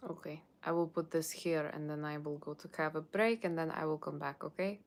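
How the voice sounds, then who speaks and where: A woman's voice: low-pitched, fairly even intonation, a little fast. A woman with a Russian accent chatting casually and informally to livestream viewers as a tarot reader, in a rambling way. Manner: rambling, informal, often checking with "okay".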